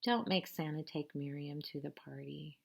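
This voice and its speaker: A woman's voice: low voice, fairly even intonation, normal speed, creaky, voice pushed down. A woman voicing an aggrieved, put-upon schoolgirl, the older sister, emphatically in a children's picture book. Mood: firm.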